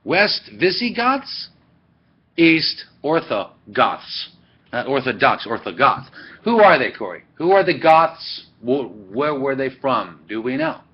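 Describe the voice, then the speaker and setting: A man's voice: medium pitch, very animated, slow pace, slightly rough. A man lecturing a class, rambling and correcting himself as he goes, recorded by a webcam. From Sweden. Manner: rambling, digressive, self-correcting. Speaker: teacher. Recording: dull lo-fi webcam audio.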